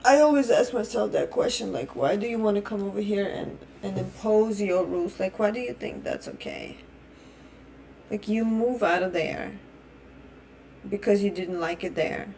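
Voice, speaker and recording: A woman's voice: mid-range voice, big pitch swings, normal speed, vocal fry, darkish tone, slight background noise. A young adult woman from Russia, a native Russian speaker, ranting bluntly with heavy swearing and repeated restarts in her phrasing. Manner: blunt, heated and profane. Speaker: vlogger. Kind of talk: opinion rant.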